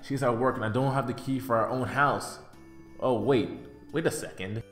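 A man's voice: medium pitch, steady tone, normal speed, a bit bright, echoey room, faint music behind, lightly acted. A man voicing an exasperated, scheming schoolboy with a sarcastic, crude inner monologue in an anime parody dub. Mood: muttering, wry. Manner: sarcastic, self-talking, crude.

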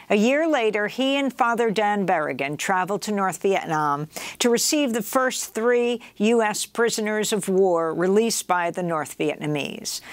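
A woman's voice: mid-range voice, no echo. Female news host, scripted voice-over, close and dry with no room sound. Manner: measured, even news-reading delivery. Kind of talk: scripted narration.

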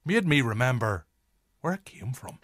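A jaded, sweary film director in a radio drama, acted by a man. He is easily flustered, blunt yet hesitant, with intonation that swings widely around a middling pitch. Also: very animated, normal speed, fairly bright tone. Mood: humbled.

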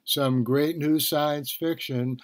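An older man hosting a podcast, talking casually and deliberately. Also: a little high, fairly even intonation. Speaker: podcast host, author and professor.

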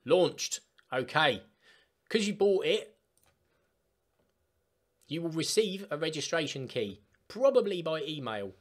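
A man presenting an informal step-by-step software tutorial in an emphatic, instructional way. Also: on the high side, sing-song, slow pace, pauses often. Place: voice-over for screen recording.

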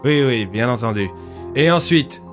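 A man's voice: mid-range voice, lively intonation, unhurried, faint music behind, lightly acted. A man playing a weary, businesslike police inspector in an audio story, asking short probing questions.

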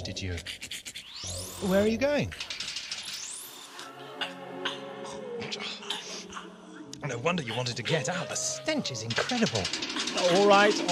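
Wry, animated man acting a time-traveling hero. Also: high voice, exaggerated voice. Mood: concerned, muttering. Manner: sardonic, theatrical. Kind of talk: audio drama acting. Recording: noisy amateur audio drama recording.

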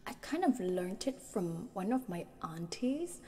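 Soft whispery young woman streamer. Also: on the low side, very animated, a little slow. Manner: soft whispery talk with giggles. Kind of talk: soft ASMR chat and singing. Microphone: close ASMR mic. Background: soft guitar.

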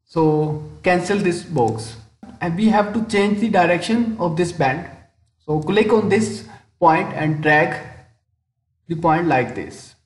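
A man with a high-pitched voice narrates a software tutorial step by step. He speaks very slowly and hesitantly, pausing in the middle of sentences. The recording is roomy, with audible echo around his voice. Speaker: tutorial instructor. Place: indoor room, screen-recording voice-over. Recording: roomy, echoing voice-over.

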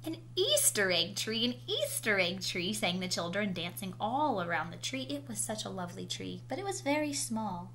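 Female storyteller, mid-range voice, expressive children's read-aloud, homemade recording with clear background noise. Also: medium pitch, normal speed, hardly any pauses. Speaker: storyteller reading a picture book aloud. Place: indoors at home, small room. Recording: noisy home recording with steady hum.

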